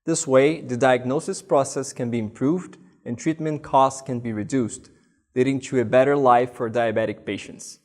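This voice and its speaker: Guy from brazil in his 30s, reading a scripted student presentation, steady and measured, mid pitch.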